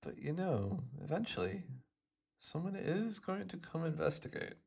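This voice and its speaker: Guy doing a gleeful hypnotist villain for asmr roleplay, super animated pitch, fry in the voice, smug and teasing like hes talking right to his puppet. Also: moderate pace, exaggerated voice. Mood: ominous, casual. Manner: gloating, teasing, theatrical, swooping between murmurs and exclamations. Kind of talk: ASMR roleplay, addressing the listener.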